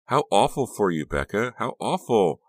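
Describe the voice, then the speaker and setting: Man voicing a gushing, clingy teenage girl, pitched up. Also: sing-song, moderate pace, no room sound, studio quality. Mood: cloying concern. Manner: cloying, exaggeratedly soothing. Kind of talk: audiobook character dialogue.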